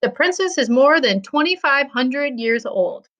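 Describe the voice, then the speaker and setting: A woman voicing a pleasant, gossipy old gentleman with a genteel, conversational way of talking in a storybook reading. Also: high-pitched, lively intonation, unhurried, dull audio, pitched above the performer's own voice.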